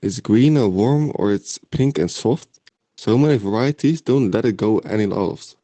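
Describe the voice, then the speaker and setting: A man playing an excitable teenage wizard schoolboy in an amateur audio drama. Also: lowish voice, normal speed, no room sound. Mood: reciting.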